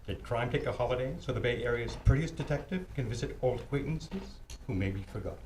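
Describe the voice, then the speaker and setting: A man playing a gruff, sarcastic, teasing homicide detective in an old-time radio drama. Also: mid-range voice, expressive, quick pace, darkish tone, lightly acted. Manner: blustery, sarcastic banter. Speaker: amateur radio club performer. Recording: roomy echo with faint ambient noise.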